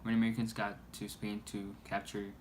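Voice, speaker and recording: A man reading a scripted news report aloud in a halting way, stumbling over words. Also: on the low side, monotone. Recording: noisy amateur recording.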